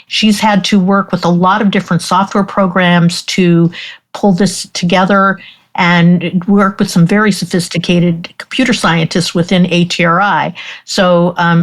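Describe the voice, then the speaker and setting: A woman physician speaking as a guest in an interview, explaining in a measured way. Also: on the low side, steady tone. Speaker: doctor, guest. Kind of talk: interview conversation.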